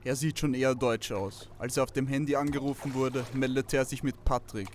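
A man's voice: mid-range voice, steady tone, brisk, darkish tone, slight background noise, natural voice. A man playing a hapless, lonely pet shop owner in an audio drama. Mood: helpful.

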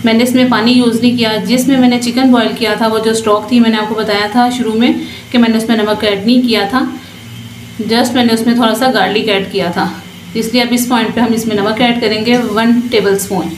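A woman's voice: on the high side, fairly even intonation, a little fast. Chatty woman teaching a recipe. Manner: instructive, chatty, frequent blessings. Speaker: cooking channel host. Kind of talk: voice-over cooking instruction. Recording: echoey kitchen with frying noise. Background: frying and stirring sounds.

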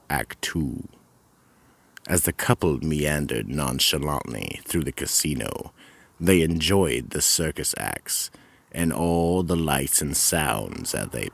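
Man as a very deep, gravelly mystery narrator. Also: fairly bright tone, dry recording, full character voice. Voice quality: gravelly, husky. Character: ominous male mystery-show narrator. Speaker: narrator and host. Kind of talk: radio mystery theatre narration.

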